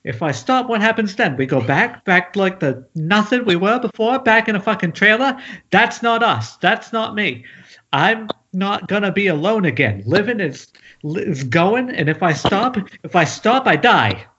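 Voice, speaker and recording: High-pitched, defiant husband read by a man. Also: lively intonation, moderate pace, bright, forceful tone, breathy edge, heavily acted. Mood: agitated, defiant. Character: defensive, addicted husband and father, successful writer. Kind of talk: amateur table read of a screenplay. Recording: dull, noisy internet call with clicks.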